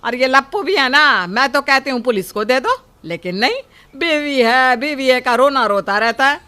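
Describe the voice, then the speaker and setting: महिला की आवाज़ में तीखी, ताने मारती सास. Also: female voice, high voice, animated, moderate pace, bright, forceful tone. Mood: complaining. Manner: nagging, bossy. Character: scolding, greedy mother-in-law with a sharp tongue. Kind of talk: animated moral story.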